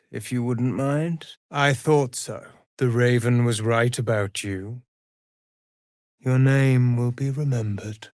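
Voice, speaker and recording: An actor playing a mysterious, brooding male avenger in a video game, speaking in grave, measured, formal phrases with a gravelly, hushed edge. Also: medium pitch, no room sound, clean studio sound, close to an everyday voice. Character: mysterious, brooding male avenger who hunts and torments murderers. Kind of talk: dramatic video game voice acting.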